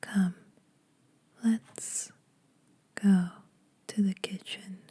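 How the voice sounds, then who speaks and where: A woman acts out an ageless female vampire in an ASMR roleplay, teasing yet secretly kind to mortals. She speaks in a very breathy whisper, extremely soft and dark in tone. Her delivery is intimate and very slow, with little rise and fall. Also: mid-range voice, steady tone, heavily acted. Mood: teasing, coaxing.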